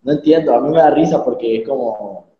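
A man's voice: mid-range voice, a little fast. A young man speaks on a video call from a very echoey room. The sound comes through muffled and dull, and he talks hesitantly with some amusement. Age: young adult. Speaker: panelist. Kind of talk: casual chat on a video call.

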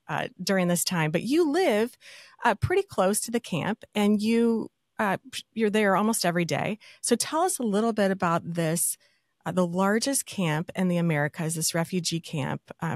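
A woman's voice: lowish voice, no echo, studio quality. A middle-aged woman hosting an interview, effusive and chatty, often restarting her questions. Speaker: podcast host. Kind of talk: casual interview talk.

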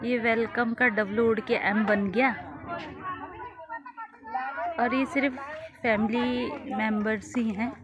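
A woman's voice: fairly high pitch, fairly even intonation, a little slow, background noise. Chatty woman vlogging outdoors. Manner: chatty, bright, switching between Hindi and Nepali. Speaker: vlogger filming a restaurant opening. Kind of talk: casual handheld vlog narration. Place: open-air bamboo restaurant, outdoors. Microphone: phone camera held by the speaker. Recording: phone recording with loud crowd. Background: loud crowd chatter.

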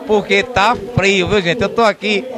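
An older man in his sixties with a Northeastern Brazilian accent, interviewing informally on location in a halting, repetitive way. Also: high-pitched, steady tone. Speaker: event reporter, interviewer. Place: outdoor night event, in front of a sponsor backdrop. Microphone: handheld microphone. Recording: loud crowd noise behind, outdoors.

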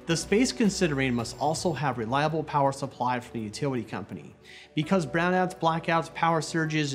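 Man in his thirties, slightly high voice, deliberate scripted presenter delivery, music behind. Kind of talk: scripted explainer narration to camera. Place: small indoor studio set. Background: background music.

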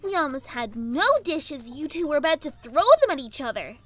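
A woman's voice: high voice, animated, normal speed, fairly bright tone, soft background music. A woman voicing a bratty, indignant young girl pony villain in a fan fiction audio drama, whiny, exasperated and dramatic. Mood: sarcastic disdain.

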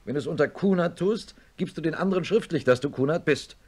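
An actor playing a middle-aged neurosurgeon in a German radio play, speaking in a measured, matter-of-fact and insistent way as he reasons and narrates. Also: on the high side, breathy edge. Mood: insistent, exasperated. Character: sober male neurosurgeon, blunt old friend, narrating his story.

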